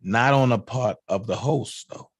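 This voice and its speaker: A hoarse man hosting a livestream, chatting casually and hyping up shout-outs to fellow channels. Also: medium pitch, a little slow, no room sound. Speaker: livestream host.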